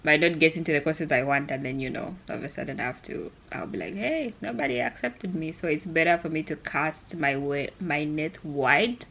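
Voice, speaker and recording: A woman's voice: low-pitched, expressive, normal speed, vocal fry, hardly any pauses, fairly bright tone, low-quality recording. A young woman from Zambia talking casually to camera like a vlogger, in a chatty, rambling way that often doubles back on itself. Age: young adult. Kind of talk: casual vlog chat to camera.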